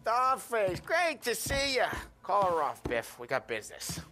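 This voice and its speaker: A man's voice: sits high, wildly swooping pitch, unhurried, bright, forceful tone, faint music behind, heavily acted. A man playing a brash, grumbling mob enforcer in a video game, with a cocky, needling way of talking. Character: brash, grumbling, wisecracking male mobster enforcer. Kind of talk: dramatic video game cutscene acting.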